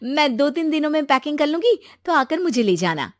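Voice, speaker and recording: Woman acting a high-pitched, bubbly young bride-to-be. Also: very animated, a little fast, dry recording, studio quality. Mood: eager.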